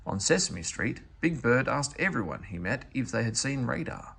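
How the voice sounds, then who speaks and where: A man reading a children's storybook aloud as an expressive narrator. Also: mid-range voice, normal speed, gravelly, husky. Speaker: storybook reader.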